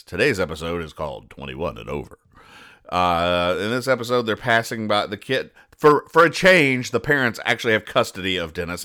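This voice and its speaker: Deadpan male podcast host, fairly low pitch, crisp delivery, explains things very literally. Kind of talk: reading and explaining comic strips.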